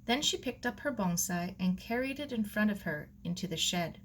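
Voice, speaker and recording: A woman reading a children's novel aloud in measured, expressive scripted narration. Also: medium pitch, a little hiss or hum. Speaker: audiobook reader.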